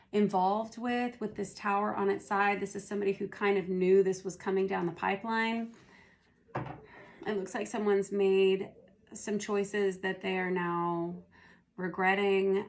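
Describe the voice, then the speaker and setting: A hoarse woman who is losing her voice, doing a casual tarot reading and thinking aloud as she turns the cards. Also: mid-range voice. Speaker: tarot reader.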